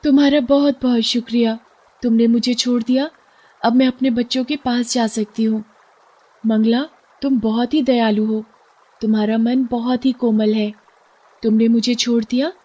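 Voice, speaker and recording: A woman voicing a kind, grateful mother fish in a cartoon story, speaking gently. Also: a little high, fairly even intonation, normal speed, muffled recording, lightly acted.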